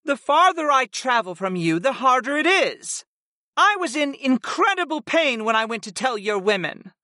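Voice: sing-song, moderate pace, bright, forceful tone, no room sound, studio quality, heavily acted. A narrator voicing a devoted female winged succubus servant from a fantasy audiobook, submissive and pleading, with a sighing purr. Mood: hesitant, pained. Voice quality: high, sighing, purring.

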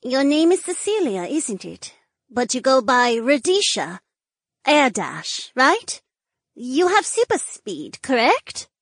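Woman acting bold, high-voiced young dragon woman. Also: sing-song, slow, no room sound, clean studio sound, heavily acted. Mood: urgent, assured. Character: honey-blonde dragon woman, bold, quick-thinking, urgent friend. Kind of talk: audiobook character dialogue.

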